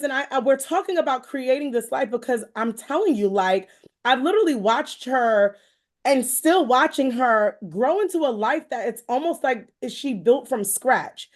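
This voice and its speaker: American female host, slightly high voice, effusive and chatty, giving faith-based encouragement in a loose conversational flow. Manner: effusive, rambling, expressive with quoted asides. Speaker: show host interviewing a guest.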